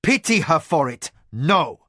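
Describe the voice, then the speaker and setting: A man's voice: mid-range voice, big pitch swings, moderate pace, fairly bright tone, no room sound, studio quality. A man voicing a shrewd male spymaster who speaks firmly and bluntly to his master. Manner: firm, clipped.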